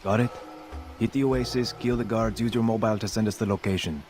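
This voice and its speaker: An actor playing an urgent, disgruntled rebel fighter in a video game cutscene. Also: male voice, on the low side, steady tone, brisk, a little mellow, a little hiss or hum, natural voice.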